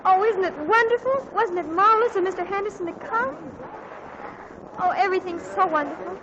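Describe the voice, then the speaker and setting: An actress playing an excitable teenage girl fussing over her own party in a 1940s radio comedy, talking in breathless, gushing chatter. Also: very high voice, a little fast. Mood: excited, gushing. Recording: muffled old radio broadcast with hiss.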